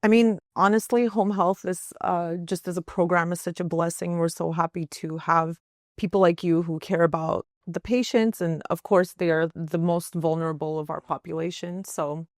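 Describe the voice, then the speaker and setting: A woman's voice: on the low side, fairly even intonation, normal speed, dry recording, clean studio sound. A woman hosting a podcast interview, friendly and effusive as she introduces and questions her guest. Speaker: podcast host.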